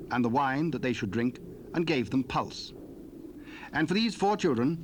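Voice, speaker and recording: A man narrating a dramatized Bible reading in a measured, solemn way, from an old cassette tape. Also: mid-range voice, a little hiss or hum.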